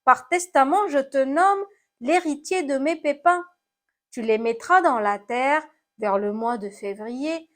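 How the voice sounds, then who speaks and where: Woman voicing a high, plaintive storybook apple. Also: measured pace. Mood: resigned, generous. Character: battered apple, plaintive then gently resigned and generous.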